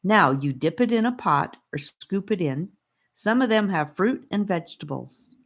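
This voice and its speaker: A kindly male storybook guide, voiced by a woman, speaking patiently and with lively intonation. He is friendly and reassuring, with a parental manner. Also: fairly low pitch, moderate pace, pitched above the performer's own voice. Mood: encouraging.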